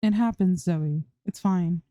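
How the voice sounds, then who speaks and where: Blunt, protective young woman, done by a female reader, low and mellow, matter-of-fact, audiobook style. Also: a little slow, no echo, highs cut off, lightly acted. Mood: reassuring. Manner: matter-of-fact, mildly scolding.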